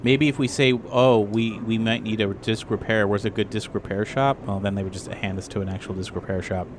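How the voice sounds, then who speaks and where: A man's voice: lowish voice, fairly even intonation, brisk, noisy background. A man playing a tabletop roleplaying game, chatting casually and tossing in acted-out asides. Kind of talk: casual improvised game chat. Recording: music clearly audible behind voice. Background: background music.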